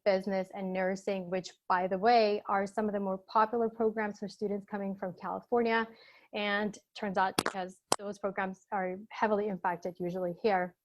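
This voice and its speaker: A woman's voice: mid-range voice, a little mellow, muffled recording. A woman whose first language is Albanian, an admissions counselor giving an upbeat presentation on a video call. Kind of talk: webinar presentation.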